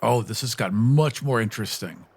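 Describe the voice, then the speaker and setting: A man's voice: mid-range voice, moderate pace, a little mellow, husky. A man playing a tabletop roleplaying game, casually narrating what his character does. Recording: music playing behind the voice. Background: background music.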